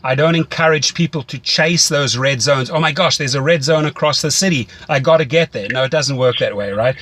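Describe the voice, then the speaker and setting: A man's voice: medium pitch, brisk, fairly bright tone, slight background noise. A man with a mid-range voice talks as a coach. He explains things step by step in a conversational, instructive manner, repeating phrases to drive points home. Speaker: rideshare driving coach. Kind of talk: conversational phone mentoring.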